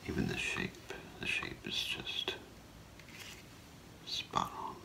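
A middle-aged man whispering in a halting, hushed ASMR role play as an appraiser, breathy and gravelly, close to the mic. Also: low-quality recording. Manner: slow, hushed, halting, with long pauses. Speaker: ASMR performer role-playing a gemologist. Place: small curtained room indoors.

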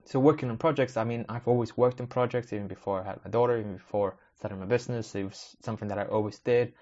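A man's voice: mid-range voice, fairly even intonation, fast, dark tone, highs cut off. A young man whose first language is Spanish, hosting a casual solo podcast and thinking aloud as he rambles and corrects himself. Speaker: podcast host.

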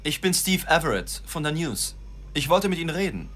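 An actor in an audio drama playing an earnest, dogged, world-weary newspaper reporter who is direct but slightly hesitant. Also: male voice, fairly high pitch, big pitch swings, moderate pace, a bit bright. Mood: tentative. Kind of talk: German audio drama acting and narration.

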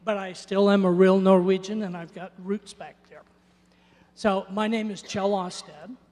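A man's voice: sits high, little pitch movement, a little slow, darkish tone. An older man, born in Norway, giving an earnest church testimony into a handheld mic. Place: church sanctuary. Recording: church hall reverb.

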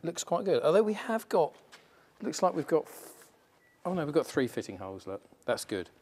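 A man's voice: fairly high pitch, sing-song, normal speed, darkish tone, husky. A man in his forties, co-hosting a van build and explaining the fitting in an easygoing, practical way, in a large indoor workshop. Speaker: van conversion co-host. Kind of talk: casual workshop chat.

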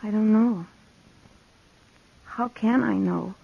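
Breathy, troubled young lady. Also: mid-range voice, slow, phone-quality sound, full character voice. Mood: wistful, troubled. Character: distraught, fragile well-bred young woman. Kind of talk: 1950s radio melodrama acting.

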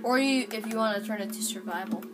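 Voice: medium pitch, fast talker, very noisy. A child of about ten chatting casually while playing a video game on camera. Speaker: gaming video host.

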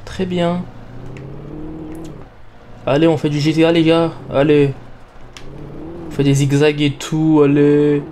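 Mellow, higher-voiced young man gaming. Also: little pitch movement, takes it slowly, pauses often, a little hiss or hum. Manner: playful, self-mocking. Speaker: let's play gamer. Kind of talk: casual gameplay commentary.

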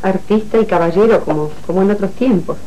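An actress playing an older, deferential but nosy housemaid in a 1980s telenovela. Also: female voice, medium pitch, a little fast, warm tone, natural voice. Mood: wry. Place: television studio living-room set. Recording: faintly noisy 1980s TV archive tape.

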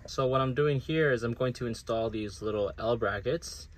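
A man's voice: medium pitch, normal speed. Man, casual DIY talk, noisy recording. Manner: informal, thinking aloud. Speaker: DIY vlogger. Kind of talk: casual how-to narration. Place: outdoors in a residential driveway. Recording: clear background noise with clicks.

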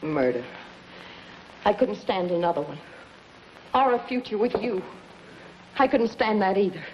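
A woman's voice: medium pitch, animated, measured pace, lightly acted. An actress in her thirties playing a bitter, scheming, world-weary gangster's woman, in clipped, dramatic 1950s noir style. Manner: hard-boiled, clipped, dramatic. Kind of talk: 1950s noir film acting. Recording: dull, noisy 1950s film soundtrack.

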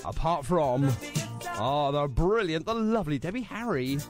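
A man's voice: on the high side, animated, normal speed, music in the background. A man hosting a live radio show, teasing and chatty with plenty of fillers. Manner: jokey, teasing, lots of fillers. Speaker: radio host.

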